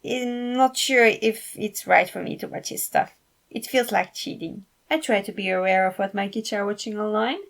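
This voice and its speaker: A woman voicing an excitable, teasing and caring mother in a story reading, with eager emphasis. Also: medium pitch, moderate pace, lightly acted.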